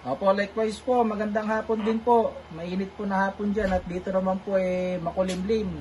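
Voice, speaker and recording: A man's voice: high-pitched, little pitch movement, normal speed, darkish tone, a little hiss or hum, poor audio. A polite man making a casual two-way radio call as an amateur operator, repeating himself for clarity. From Philippines.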